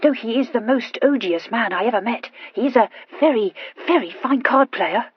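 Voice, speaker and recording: A woman's voice: a little high, lively intonation, normal speed, husky, no room sound, dull audio, pitched above the performer's own voice. A woman voicing an impulsive, anxious young aristocratic wife who stammers and gushes earnestly in an audiobook story. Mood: anxious, confessing. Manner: hesitant, gushing confession with emphatic outbursts.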